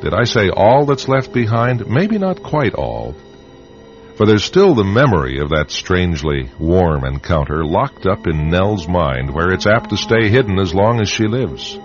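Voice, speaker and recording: A man hosting a radio anthology, narrating a script in a theatrical, deliberate, dramatic style. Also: low-pitched, big pitch swings. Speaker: host and narrator. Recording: slightly muffled radio broadcast. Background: music.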